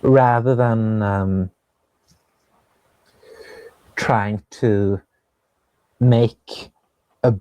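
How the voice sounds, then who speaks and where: Slow, mellow young Norwegian man. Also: lowish voice, fairly even intonation, smooth, dry recording, rough recording. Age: young adult. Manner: thoughtful, searching for words with many pauses and fillers. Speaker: composer and viola player, guest. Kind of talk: casual conversation.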